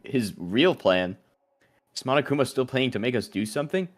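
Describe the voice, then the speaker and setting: Guy doing an earnest anxious schoolboy in a mystery game, mid pitch, unsure of himself, music behind. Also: lively intonation, a little fast, close to an everyday voice. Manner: uncertain, questioning. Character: worried, earnest young male student in a mystery game. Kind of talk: acted dialogue reading from a visual novel.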